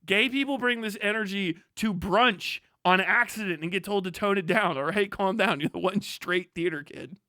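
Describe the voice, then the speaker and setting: A man in his thirties chatting casually as a livestream host, swearing freely and riffing in a sarcastic, animated way. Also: high-pitched, moderate pace, hardly any pauses, no echo. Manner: profane, animated, sarcastic ranting.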